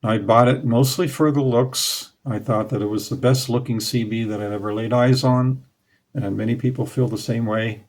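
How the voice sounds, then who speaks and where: A man in his forties speaks in a relaxed, plain-spoken way, like a hobbyist host looking back fondly. His voice sits at an ordinary middle pitch. It is picked up close by a clip-on mic in a small curtained room. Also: moderate pace. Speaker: radio hobby channel host. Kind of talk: casual explainer to camera. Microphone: clip-on lapel mic.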